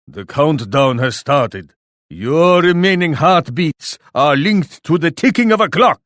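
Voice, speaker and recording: Menacing shogun warlord, video game taunts, theatrical, formal, lively swooping pitch, dry close sound. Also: male voice, no room sound. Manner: haughty, threatening, formal and theatrical. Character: proud, menacing Japanese shogun warlord, speaks of himself grandly.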